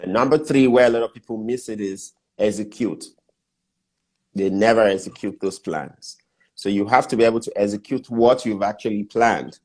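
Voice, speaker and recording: Man, Nigerian accent, middle-aged, low voice, emphatic, repeats points, casual interview. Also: normal speed, a little mellow. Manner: emphatic, lists steps and repeats key points. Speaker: guest business mentor.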